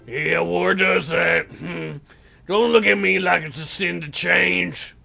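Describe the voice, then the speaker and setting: Someone doing a low, sullen teenage boy. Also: steady tone, slow pace, gravelly, sharp tone, soft background music, heavily acted. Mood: world-weary. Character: sullen, self-important sixteen-year-old boy, dopey and world-weary.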